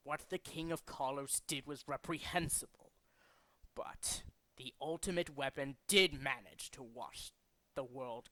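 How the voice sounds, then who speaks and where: A man voicing a grandiose, zealous villain leader in a video game, speaking in a deliberate, pompous, theatrical way. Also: medium pitch, bright, forceful tone, dry recording. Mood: solemn, brooding. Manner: deliberate, dramatic, pompous declaiming. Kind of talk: theatrical video game character demo reel.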